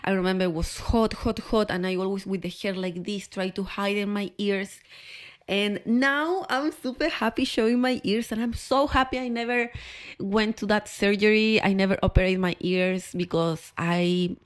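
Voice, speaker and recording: A woman from Chile with a Chilean Spanish accent tells personal stories to camera in an expressive, candid way, often repeating words for emphasis. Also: mid-range voice. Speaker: podcast host.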